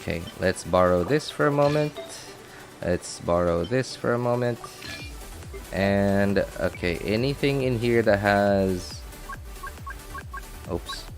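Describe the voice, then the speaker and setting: A man giving casual, chatty live gameplay commentary as a streamer, thinking out loud and reacting as he goes. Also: lowish voice, lively intonation, slow pace, pauses often, faint music behind. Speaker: game streamer.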